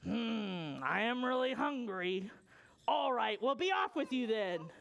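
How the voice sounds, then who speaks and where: A woman voicing a mean, gruff, hungry male troll from a children's story, growly and menacing. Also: on the high side, very animated, takes it slowly, fairly bright tone, heavily acted. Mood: grumbling, hungry.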